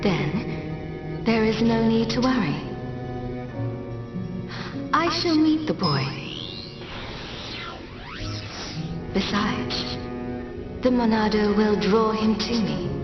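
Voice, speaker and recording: A woman playing a solemn, regal lady of a machine people in a video game cutscene, speaking in a stately, formal, measured way. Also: on the high side, lively intonation, distant mic, lo-fi sound. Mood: calm resolve. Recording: echoey, set back, music behind.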